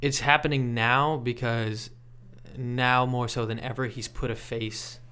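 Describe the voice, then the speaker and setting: A man giving reflective interview commentary as a podcast guest, in a measured, thoughtful and halting way. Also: mid-range voice, steady tone, bright, forceful tone, clean tone. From Canada. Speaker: podcast guest, sports reporter.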